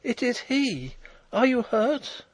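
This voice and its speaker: A noble young princess from a storybook as a man acts her out, pitched high with sweeping intonation. She is proud and tender, speaking in formal, impassioned phrases, close and dry. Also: wildly swooping pitch, unhurried, creaky, a little mellow, husky, dry recording, heavily acted, low-quality recording. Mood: anxious relief. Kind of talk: audiobook character voice.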